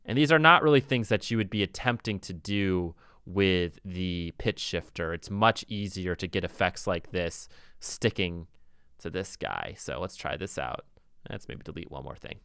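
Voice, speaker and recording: Low, smooth-voiced man giving a tutorial. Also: moderate pace, bright, forceful tone, dry recording, clean studio sound. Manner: informal, thinking aloud while demonstrating. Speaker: music production tutor. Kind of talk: casual software tutorial talk.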